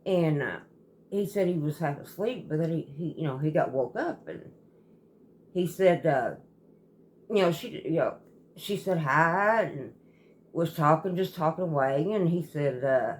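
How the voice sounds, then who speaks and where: A woman telling a story casually as a host, in a halting, rambling way that often circles back and repeats. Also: deep voice, expressive. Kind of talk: casual storytelling, retelling a viewer's account.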